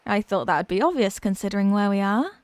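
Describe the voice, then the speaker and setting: A woman's voice: mid-range voice, animated, quick pace, dry recording, natural voice. A woman playing an obsessive, haughty young mermaid princess in a roleplay ASMR drama, sweetly smug and condescending. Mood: haughty, amused.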